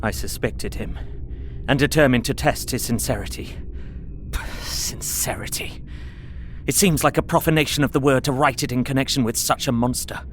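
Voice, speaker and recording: A man's voice: medium pitch, normal speed, slight background noise, lightly acted. An actor playing a fearful, earnest young Englishman, narrating his journal in a dramatic audio drama. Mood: grim dread.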